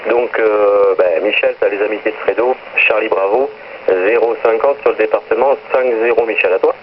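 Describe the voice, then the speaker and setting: A man's voice: on the low side, moderate pace. A middle-aged man chatting in amateur radio jargon over a two-way radio, friendly and talkative with his greetings. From northern Paris area, France. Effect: two-way radio transmission. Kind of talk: casual radio contact chat with operator jargon. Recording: noisy, muffled shortwave radio transmission.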